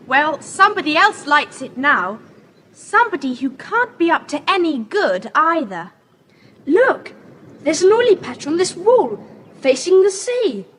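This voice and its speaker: An eager, inquisitive British child with a very high, lively voice that swings up and down. It is emphatic children's audio drama acting on a faintly hissy tape. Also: female voice, measured pace, full character voice. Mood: intrigued, excited. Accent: British English.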